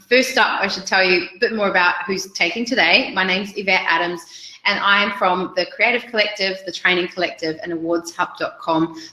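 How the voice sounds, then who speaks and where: A woman's voice: lowish voice, pushed and bright, echoey room, rough recording. A woman in her forties with a New Zealand accent, presenting a business webinar over slides in an upbeat, chatty way with frequent ums.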